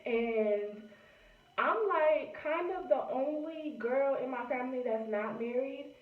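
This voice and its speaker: A young woman in her late twenties chats to camera in a relaxed, rambling way, leaning on "you know" and speaking. Her voice sits fairly high. The sound is echoey and thin, like a phone recording in a room at home. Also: takes it slowly, recorded from a distance, phone-quality sound. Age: late 20s. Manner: chatty, many fillers like "you know". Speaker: vlogger. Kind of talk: casual chat to camera.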